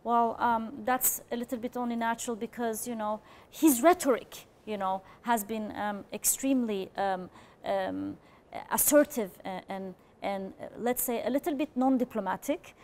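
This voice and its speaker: Hesitant higher-voiced woman panelist. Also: moderate pace, a little mellow. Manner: hesitant, many ums and fillers. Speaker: opinion editor, panel guest. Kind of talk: live studio panel analysis.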